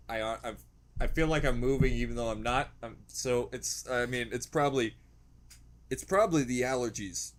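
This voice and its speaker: A man's voice: medium pitch, slow, long pauses. A man giving casual, rambling reaction commentary with jokey, crude humour and exaggerated dread. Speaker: reaction video host.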